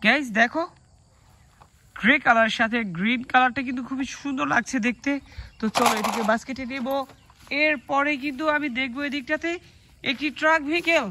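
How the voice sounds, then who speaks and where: Very high, bright toy-video host. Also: fairly quick, a little hiss or hum. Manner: exclamatory, showing items to the audience. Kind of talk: excited presenter narration to viewers.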